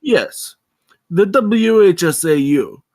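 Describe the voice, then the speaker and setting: An actor at a script table read playing a suspicious, authoritative head scientist of sixty. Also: male voice, high-pitched, expressive, slow pace, darkish tone, no echo, clean studio sound. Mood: suspicious, stern. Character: suspicious sixty-year-old head scientist, lean, towering, authoritative man.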